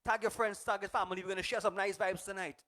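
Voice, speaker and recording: A man's voice: high voice, flat delivery, fast, pushed and bright. A man, a singer hosting his livestream set, rallying the audience with upbeat repeated calls like a party hype man. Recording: music playing under the voice.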